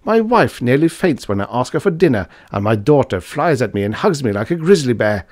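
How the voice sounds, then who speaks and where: A man's voice: mid-range voice, sing-song, a little fast, darkish tone, no echo, studio quality, pitched above the performer's own voice. A man voicing an irascible, pompous older professor in a storybook reading, blustering and imperious. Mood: indignant. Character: irascible, pompous older male German professor, bellowing indignantly.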